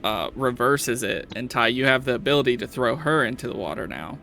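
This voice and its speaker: A man's voice: mid-range voice, lively intonation, fairly quick, creaky, bright, forceful tone. A man acting as game master, casually narrating and calling for checks.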